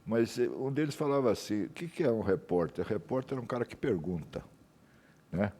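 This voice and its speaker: Brazilian man, 70s, storytelling guest, low and mellow, rambling delivery. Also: animated, a little fast, breathy edge. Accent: Brazilian Portuguese. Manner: rambling, anecdotal, frequent tag questions. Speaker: journalist guest. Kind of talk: interview storytelling.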